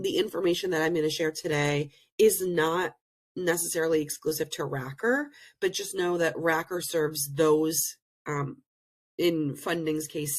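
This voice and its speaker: A woman presenting in an online learning session over a video call, slightly hoarse from being sick, explaining things earnestly with many pauses. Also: deep voice. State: hoarse, recovering from illness. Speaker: presenter and program director. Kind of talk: webinar presentation.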